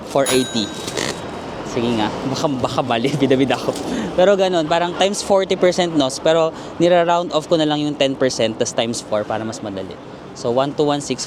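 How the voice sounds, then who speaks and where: A talkative man with a Filipino accent is vlogging from a hostel common area, mixing Tagalog and English and laughing often. His pitch sits at an ordinary middle, and clear background noise and room hum run under his voice. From Philippines. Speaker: travel vlogger. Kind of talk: casual vlog chat.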